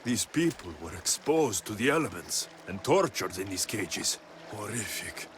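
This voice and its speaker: An actor playing a terse, protective, hot-tempered mercenary in a video game. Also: male voice, on the low side, very animated, unhurried, a little hiss or hum. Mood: grim, horrified. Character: gruff male Greek mercenary hero, protective, hot-tempered. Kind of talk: dramatic video game acting. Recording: dramatic music behind the voice.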